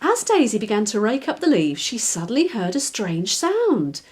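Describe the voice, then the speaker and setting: Animated woman reading a children's story. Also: a little high, normal speed. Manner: expressive, clearly phrased for children. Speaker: children's author, reader. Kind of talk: scripted storybook narration.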